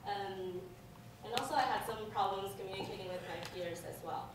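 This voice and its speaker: Young Chinese-accented woman, halting, echoey. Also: mid-range voice. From China. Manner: halting, many ums and restarts. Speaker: alumni speaker. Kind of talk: presentation talk with slides. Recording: echoey room from far off, noise.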